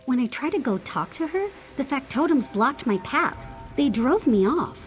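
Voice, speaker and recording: A woman's voice: high voice, big pitch swings, moderate pace, faint music behind. A woman voicing an earnest, suspicious young apprentice in a video game, with a questioning way of talking. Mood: uneasy, suspicious. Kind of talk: video game character dialogue.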